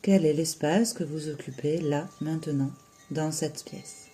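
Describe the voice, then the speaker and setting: Slow, mellow-voiced guided relaxation teacher. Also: medium pitch, steady tone, a little hiss or hum. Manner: soothing, unhurried, with long pauses between short phrases. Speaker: yoga nidra teacher.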